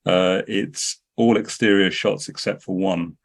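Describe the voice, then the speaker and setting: A man's voice: low voice, fairly even intonation, unhurried, vocal fry. A man telling anecdotes as a filmmaker guest in a podcast interview, often restarting his sentences.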